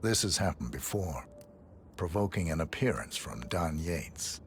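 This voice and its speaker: An actor playing a cold, calculating assassin in a video game, speaking in detached, clipped, matter-of-fact observations. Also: male voice, sits low, animated, a little slow, a little hiss or hum. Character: cold, calculating male professional assassin thinking aloud.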